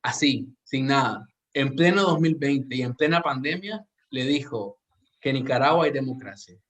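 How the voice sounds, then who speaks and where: A man's voice: medium pitch, expressive, moderate pace, fairly bright tone, poor audio. A man with a Central American Spanish accent, hosting a podcast and talking earnestly and reflectively, often restarting his sentences. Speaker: podcast host. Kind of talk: casual podcast conversation.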